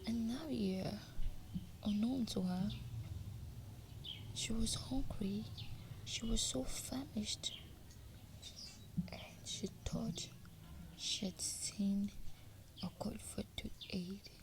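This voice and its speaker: A woman tells a folk tale in a slow, unhurried whisper with a gravelly edge. A clear wash of outdoor ambience and birdsong runs behind her voice. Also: medium pitch, noisy background. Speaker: storyteller. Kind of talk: whispered storytelling.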